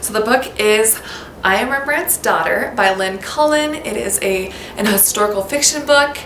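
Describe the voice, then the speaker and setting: A woman's voice: medium pitch, moderate pace, fairly bright tone. A woman with an American accent, talking casually to camera about baby names, upbeat and gushing, sometimes spelling words out letter by letter. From United States. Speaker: baby-name vlogger. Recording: echoey room with background noise.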